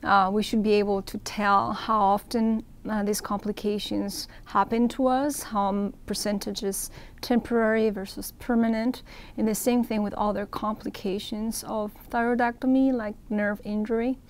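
Creaky-voiced woman from Ecuador explaining. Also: medium pitch, little pitch movement, moderate pace. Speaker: interviewed surgeon. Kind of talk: explanatory medical interview. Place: indoor event room with banner backdrop.

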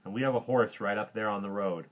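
A man's voice: fairly low pitch, fairly even intonation, quick pace, highs cut off, lightly acted. A man voicing a sensible, doubtful but plucky boy in a storybook reading. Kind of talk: children's storybook character voice.

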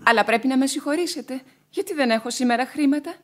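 Actress as a very high-voiced haughty widow. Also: female voice, natural voice. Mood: composed. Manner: formal, haughty, dramatic. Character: proud, mournful young widow, mistress of the house. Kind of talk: classic Greek radio theatre acting.

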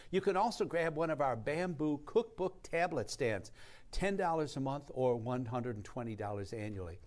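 Animated older man hosting a TV pledge drive. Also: mid-range voice, normal speed. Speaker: TV pledge show co-host. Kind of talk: upbeat live pledge presenting. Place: TV studio kitchen.

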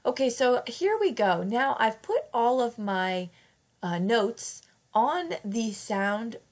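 A woman's voice: fairly high pitch, sing-song, slow pace. A woman fighting off a cold, narrating a casual craft tutorial in a chatty, self-correcting way. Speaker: craft channel host.

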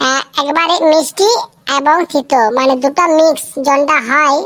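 A performer playing an eager, earnest young pupil who recites haltingly in a comic cartoon. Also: high-pitched, fairly bright tone.

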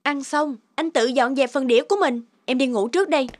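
A woman's voice: sits high, fairly quick, no echo. A woman voicing a resentful young wife and mother in a cartoon, scolding in a curt manner. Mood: cold.

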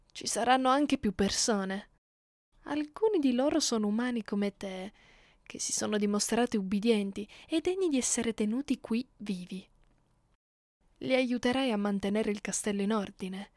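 A woman playing a haughty, seductive, sadistic female vampire in an ASMR roleplay, commanding and deliberate in manner. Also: medium pitch, expressive, darkish tone, no room sound, clean studio sound, natural voice. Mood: cold, commanding. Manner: commanding, slow and deliberate, slipping into breathy whispers. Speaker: roleplay narrator and voice actor. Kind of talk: ASMR roleplay, slow and close. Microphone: close, dry microphone.

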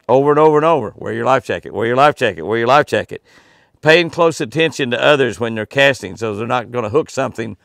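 A man's voice: medium pitch, vocal fry, no room sound, studio quality. An older man hosting a daily devotional and fishing tip from outdoors by a lake, in a folksy, earnest way and repeating his key phrases for emphasis. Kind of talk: devotional reading and folksy preaching. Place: outdoors by a lake next to a cabin. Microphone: camera close in front, face framed.

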